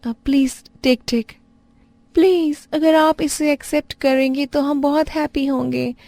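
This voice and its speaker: A woman voicing a young tourist in a cartoon story, polite and excited, halting in mixed with broken English. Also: high-pitched, dry recording, pitched above the performer's own voice. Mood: warm, eager. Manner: halting, mixing simple broken English into Hindi.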